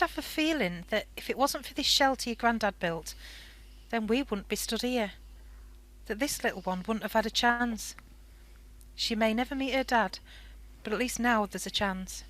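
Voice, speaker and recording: A woman's voice: on the high side, measured pace, fairly bright tone. An actress in a radio play playing an anxious, hopeful wartime mother, hesitant and emotional.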